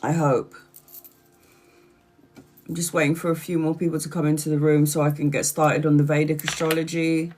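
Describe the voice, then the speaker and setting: A woman's voice: sits low, flat delivery, moderate pace, long pauses, a little mellow, soft background music. A congested woman tarot reader chatting casually on her livestream, tired and rambling.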